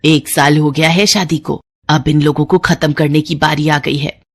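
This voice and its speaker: A woman voicing a cold, scheming older mother-in-law with a creaky voice in an animated moral story. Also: low-pitched, normal speed.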